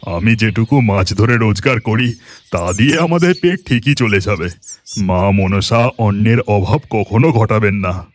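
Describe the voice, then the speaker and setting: A man's voice: on the low side, a little slow, slight background noise, lightly acted. A man playing a middle-aged village fisherman father in a cartoon moral story, earnest and reassuring.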